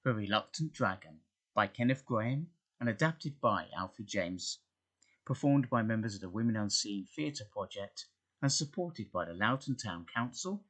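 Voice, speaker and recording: A man's voice: on the low side, lively intonation, moderate pace, a bit bright. A man reading a scripted introduction and credits as announcer.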